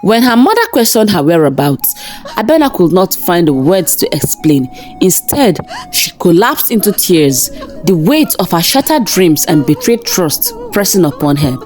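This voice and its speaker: Low-voiced, expressive woman storyteller over music. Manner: measured, expressive storytelling delivery. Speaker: storyteller and narrator. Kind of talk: scripted dramatic folktale narration. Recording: narration over background music. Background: background music.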